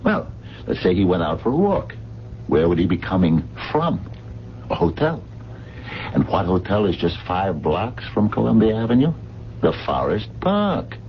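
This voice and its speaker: Dogged streetwise man, low, swooping voice. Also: sing-song, moderate pace. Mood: insistent, reasoning. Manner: slangy, emphatic, reasoning step by step. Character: streetwise working-class man, dogged amateur sleuth. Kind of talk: radio mystery drama acting. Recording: muffled, noisy 1970s radio broadcast.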